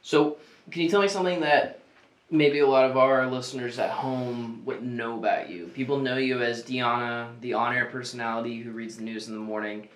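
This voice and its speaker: A man interviewing a guest in a relaxed, dry way during a food challenge. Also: medium pitch, normal speed, echoey room, poor audio. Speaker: radio interviewer. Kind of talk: casual interview.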